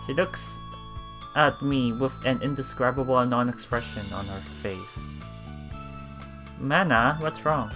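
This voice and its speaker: A man reading visual novel text aloud as a let's play host, with casual commentary and a halting delivery that repeats phrases. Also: mid-range voice. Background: game music.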